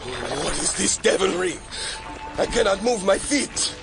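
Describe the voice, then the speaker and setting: A fierce, zealous armed raider in an audio drama. His gravelly voice swings widely in pitch with slow, heavy intensity, under loud dramatic music. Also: very animated, exaggerated voice. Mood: terrified, desperate. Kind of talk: modern audio drama acting.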